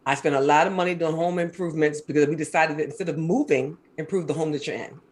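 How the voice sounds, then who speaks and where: Woman guest, 50s, from Maryland: deep husky voice, quick animated storytelling, casual interview tone. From Maryland, USA. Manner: fast-talking, animated storyteller.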